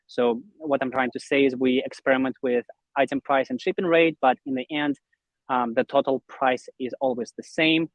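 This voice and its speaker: A man's voice: medium pitch, expressive, moderate pace, no room sound, rough recording. A young man from Russia, an interview guest explaining his online business in a conversational way. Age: young adult.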